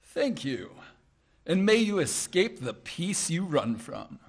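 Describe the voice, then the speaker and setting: A man's voice: a little high, very animated, slow, husky, full character voice. A man playing a smug rich rival suitor in a radio play, speaking with veiled menace.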